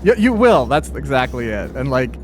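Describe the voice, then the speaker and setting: A man's voice: medium pitch, very animated, moderate pace, a little hiss or hum. A man interviewing in an easygoing, casual way while playing a video game. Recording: music in the background.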